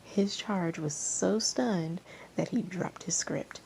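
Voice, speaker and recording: Mellow, creaky woman reading a story aloud. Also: lowish voice, slow pace, low-quality recording. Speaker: fan fiction reader. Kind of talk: expressive story narration. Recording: amateur recording with background noise.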